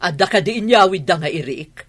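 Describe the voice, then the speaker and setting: A woman's voice: lowish voice, animated, fairly quick, slightly rough. An actress with an Ilocano accent playing an exasperated, scolding mother worried about money in a radio drama. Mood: nagging.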